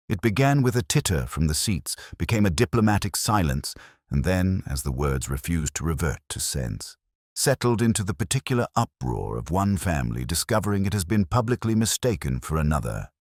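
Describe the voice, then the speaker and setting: A man narrating a scripted mystery story in a dry, measured, wry literary manner. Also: a bass voice, very animated, no echo.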